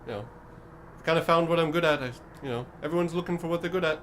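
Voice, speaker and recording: Man voicing a high, rambling undead creature. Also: fairly bright tone, slight background noise, natural voice. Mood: awkward, resigned. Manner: halting, awkward, muttering asides. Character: rambling undead oracle creature set in a cave wall.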